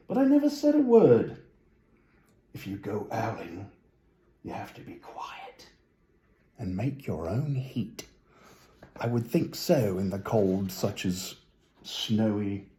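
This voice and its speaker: Male storybook reader, very mellow and animated, whimsical musing asides, roomy sound. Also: medium pitch. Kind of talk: children's story reading with chatty asides.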